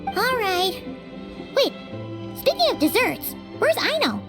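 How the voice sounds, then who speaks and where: A woman voicing a tiny, excitable female fairy companion in a video game, bubbly and exclamatory. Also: extremely high pitch, slow pace, over music, heavily acted. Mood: curious. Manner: bubbly, exclamatory, refers to herself in third person.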